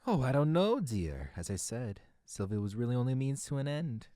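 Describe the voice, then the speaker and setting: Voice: mid-range voice, very animated, a little fast, warm tone, no echo, clean studio sound, lightly acted. An actor playing a sly, enigmatic murder suspect in an audio drama, polite and evasive with a theatrical, teasing manner. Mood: teasing, knowing.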